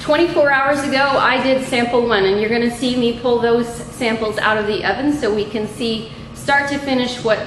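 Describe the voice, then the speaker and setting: A middle-aged woman instructor giving an unscripted, methodical lab demonstration in a halting way, picked up by a camera a few feet away in a small room. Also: fairly high pitch. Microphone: camera mic a few feet away. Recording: echoey room with clear background noise.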